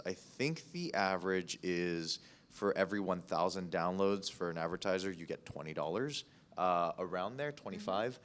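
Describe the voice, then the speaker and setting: A man speaking informally as a podcast producer on a panel, explaining things in a halting, rambling way. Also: sits low.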